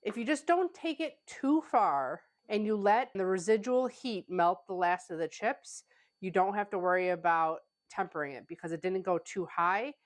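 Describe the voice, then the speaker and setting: A woman who is a pastry chef hosting a casual home-kitchen cooking tutorial, a little stuffy from a cold, chatting with plenty of "like" fillers. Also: lowish voice. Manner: chatty, conversational, with frequent "like" fillers. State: stuffy, getting over a cold.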